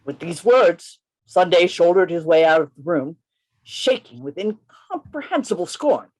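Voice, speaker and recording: An actor as animated undercover policeman Gabriel Syme. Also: mid-range voice, measured pace, poor audio. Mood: measured narration. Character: Gabriel Syme, tense undercover policeman telling his story. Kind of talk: dramatic first-person radio play narration. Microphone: video call.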